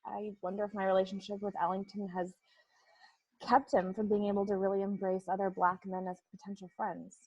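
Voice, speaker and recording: A woman's voice: mid-range voice, level tone, a little fast, darkish tone, slightly rough, lo-fi sound. Woman playing an earnest, insistent young woman. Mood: earnest, probing. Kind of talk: comedic script table read. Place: recorded remotely at home on a video call.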